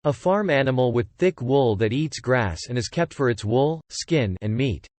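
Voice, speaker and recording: Man reading English examples, lively pitch. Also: moderate pace, no echo. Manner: clear, careful model reading. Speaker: English teacher. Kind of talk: reading word definitions and example sentences.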